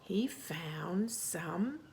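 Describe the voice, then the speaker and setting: Animated woman telling a children's story slowly. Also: on the low side, vocal fry, background noise. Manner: animated, expressive, asks questions and pauses for answers. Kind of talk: read-aloud picture-book storytelling with chatty asides to young children.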